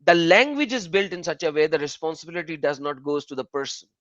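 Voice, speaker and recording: Animated man explaining. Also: quick pace, dry recording, highs cut off. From Pakistan. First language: Urdu. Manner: animated explainer, pitch jumping up when he makes a point. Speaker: host of a live call-in stream. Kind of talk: casual live-stream chat.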